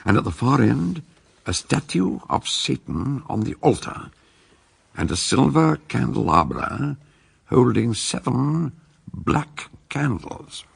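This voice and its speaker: An actor playing an eccentric older detective, narrating a radio drama in a gravelly voice with measured, theatrical storytelling. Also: male voice, medium pitch, big pitch swings, full character voice. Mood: dramatic narrating. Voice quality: very husky, gravelly. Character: eccentric older male detective recounting a case.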